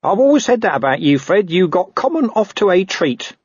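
Man as a cheeky, chummy, husky-voiced copper. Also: high voice, sing-song, fairly quick, dry recording, lo-fi sound, pitched above the performer's own voice, full character voice. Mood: consoling.

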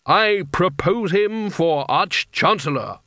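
Man as a slow, pompous senior wizard. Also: high voice, animated, pushed and bright, no room sound, studio quality, pitched above the performer's own voice, exaggerated voice. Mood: grand, intoning. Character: pompous, ambitious senior male wizard, grandly declaiming.

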